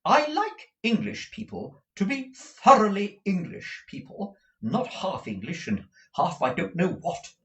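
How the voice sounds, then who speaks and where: A man's voice: on the high side, wildly swooping pitch, unhurried, highs cut off, pitched above the performer's own voice. A man voicing a fussy, gushing middle-aged mother from a storybook, emphatic, exclamatory and rambling.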